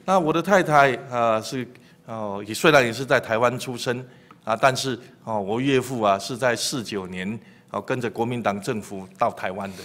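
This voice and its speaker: A man's voice: medium pitch, moderate pace. Taiwanese-accented man preaching from a pulpit. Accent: Taiwanese Mandarin. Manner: many fillers, animated when telling jokes. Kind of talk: sermon in Mandarin with anecdotes. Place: church pulpit. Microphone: lectern microphone.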